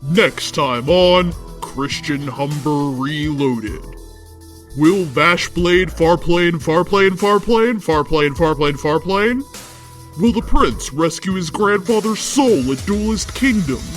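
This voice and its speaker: A woman's voice: fairly low pitch, lively intonation, slow, faint music behind. A woman playing a bombastic next-episode announcer for an anime-style story, theatrical and emphatic. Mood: mock-suspenseful.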